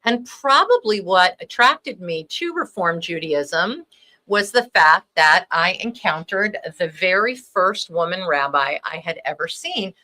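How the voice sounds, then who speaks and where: Woman, native Hebrew speaker, bright voice, lowish pitch, relaxed and thoughtful. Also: a little slow, low-quality recording. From Los Angeles. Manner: relaxed, thoughtful, frequent ums. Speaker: rabbi, panel guest. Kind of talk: conversational storytelling.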